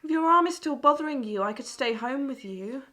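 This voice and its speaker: A woman's voice: high-pitched, lively intonation, fairly quick, sharp tone. A woman playing a caring farmer's wife and mother in an amateur audio drama. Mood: concerned.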